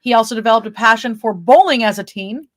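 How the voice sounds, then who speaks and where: Female true-crime podcast co-host, higher-pitched, informal, shifts between reading notes and casual banter. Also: fast talker.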